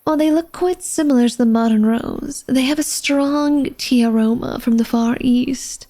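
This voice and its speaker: A woman's voice: high-pitched, moderate pace, mellow, lightly acted. A woman playing an elegant, secretive vampire lady of a manor in a flirtatious ASMR roleplay, speaking formally and coyly to the listener. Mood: genteel, proud. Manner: formal, genteel, coy, teasing speech addressed to the listener.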